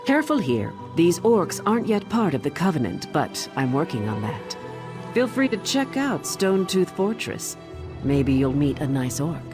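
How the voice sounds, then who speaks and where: A confident woman captain of a fantasy ship. Her voice sits low and swings expressively as she talks in a brisk, wry, plain-spoken way. Loud music runs underneath. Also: very animated. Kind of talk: fantasy video game acting.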